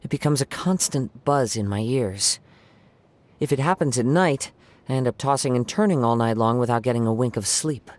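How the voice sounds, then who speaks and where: An actor voicing a calm young male wandering samurai poet in a game, speaking softly, gently and reflectively. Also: mid-range voice, normal speed, natural voice. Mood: gentle reflective. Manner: soft-spoken, gentle and reflective. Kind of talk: game character voice lines.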